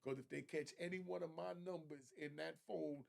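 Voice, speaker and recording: A man's voice: fairly high pitch, fairly even intonation, normal speed, vocal fry. A middle-aged man giving casual, slangy commentary as a streetwise host who explains things conversationally.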